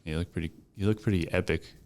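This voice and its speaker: A man hosting a podcast in casual, joking chat, laid-back and quick to laugh. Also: low-pitched, fairly even intonation, a little mellow. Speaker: podcast host. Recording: ambient electronic music and rain behind.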